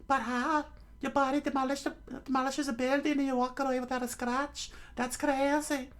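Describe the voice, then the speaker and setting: Incredulous old villager, raised pitch, exclamatory, comic storytelling, performed by a man. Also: level tone, moderate pace, a bit bright. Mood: astonished. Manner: exclamatory, repeats himself in disbelief.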